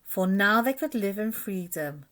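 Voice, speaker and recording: A woman narrates a rhyming picture book for young children. Her voice is mid-pitched, and she tells the tale expressively, following the bounce of the verse. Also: expressive, unhurried, fairly bright tone. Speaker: storyteller reading to schoolchildren.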